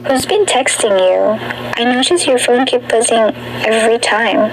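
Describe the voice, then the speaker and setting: A woman's voice: on the high side, moderate pace, over music, natural voice, low-quality recording. A woman playing a worried, scolding teenage schoolgirl in an amateur radio play. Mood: curious, probing.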